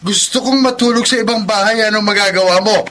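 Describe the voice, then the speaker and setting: An actor playing an abusive, hot-tempered Filipino husband in a radio drama, with a sneering, belligerent delivery. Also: male voice, high voice, a little fast, bright, forceful tone, slight background noise. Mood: dismissive, irritated.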